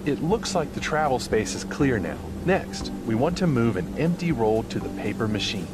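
A man giving scripted, instructional training narration in a clear manner. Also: medium pitch, big pitch swings, normal speed, very noisy. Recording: music behind the voice. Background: prominent background music.

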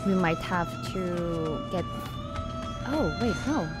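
A woman's voice: lowish voice, animated, takes it slowly. A woman from the Philippines, giving chatty, giggly reaction commentary while playing a video game. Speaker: gaming streamer. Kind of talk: let's play reaction commentary. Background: game music.

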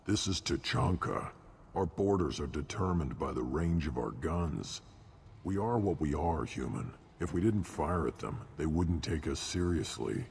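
An actor playing a gruff, blunt reptilian alien scout commander in a video game. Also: male voice, a bass voice, animated, unhurried, darkish tone, full character voice. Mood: blunt, hardened. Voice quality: gravelly. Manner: blunt, curt. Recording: hall reverb, faint ambient noise.

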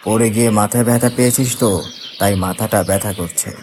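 A man's voice: fairly low pitch, fairly even intonation, fast, a little mellow, a little hiss or hum, close to an everyday voice. A man voicing a concerned male village friend in a horror cartoon, speaking in a familiar, explanatory manner. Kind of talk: Bengali horror cartoon voice acting.

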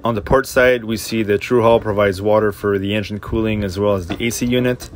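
Low-voiced man narrating a boat tour. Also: steady tone, brisk, a little hiss or hum. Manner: plain, matter-of-fact listing of equipment. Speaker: boat owner giving a tour.

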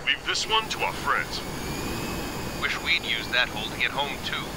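An actor playing a resigned, wry military pilot in a video game. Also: male voice, mid-range voice, expressive, normal speed, natural voice. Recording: quiet dialogue under loud jet noise.

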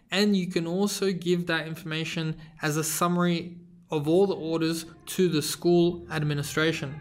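A man hosting a screen-recorded tutorial, explaining step by step in a relaxed way with casual fillers. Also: on the high side. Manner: relaxed, explanatory, frequent fillers like "you know". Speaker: tutorial host. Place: voice-over over slides.

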